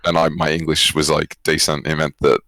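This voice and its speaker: Young man, Australian accent, very deep pitch, dry sound, casual, reflective, hesitant interview guest. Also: monotone, a little fast, fairly bright tone, no echo. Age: young adult. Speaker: esports coach, former pro player, guest.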